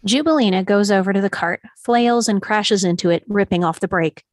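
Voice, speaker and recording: A woman's voice: medium pitch, fairly quick, no echo. Script narrator: woman, mid-range voice, reading stage directions, very dry recording.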